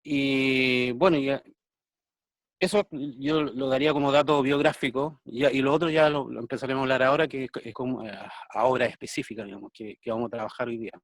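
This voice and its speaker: Chilean man talking with many pauses. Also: mid-range voice, steady tone, dry recording. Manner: thoughtful, hesitant, many fillers and tag questions. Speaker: visual artist guest. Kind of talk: conversational interview, reflective. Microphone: video call.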